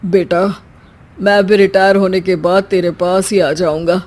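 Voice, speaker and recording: A woman voicing a patient, aging village father in an animated story. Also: fairly low pitch, measured pace, pitched below the performer's own voice, natural voice. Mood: calm.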